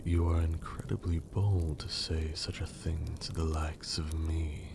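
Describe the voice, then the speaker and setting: A man acting as a haughty vampire lord in an ASMR roleplay. His voice sits very low and crackles with gravelly fry, often slipping into breathy whispers. He takes his time, drawling formal, condescending lines with a teasing, gentlemanly polish. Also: level tone, measured pace. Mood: musing, condescending. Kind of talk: ASMR roleplay, intimate and scripted.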